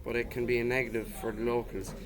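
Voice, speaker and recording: A man's voice: medium pitch, little pitch movement, fast, crowd noise. A man giving a scripted presentation as a guest speaker, reading it aloud.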